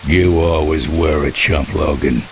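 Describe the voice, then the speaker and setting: An actor playing a menacing, taunting thug in a video game, with a sneering, threatening delivery. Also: male voice, rumbling low, measured pace. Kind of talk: dramatic video game acting. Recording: clear rain noise.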